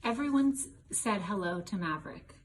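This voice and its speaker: A woman's voice: mid-range voice, animated, moderate pace, a little hiss or hum. A woman reading a children's picture book aloud in a storytelling style. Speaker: storybook reader.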